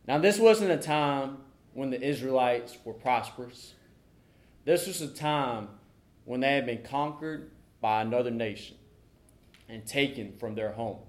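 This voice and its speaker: A young man preaching and reading scripture at a church service, deliberate, pausing between short phrases. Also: mid-range voice. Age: young adult. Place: church sanctuary during a service.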